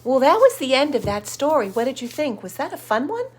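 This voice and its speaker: A woman hosting children's storytime, reading expressively to young listeners and addressing them directly. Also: high-pitched. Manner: slow, expressive, sounds out words and asks listeners questions. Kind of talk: picture book read-aloud for young children.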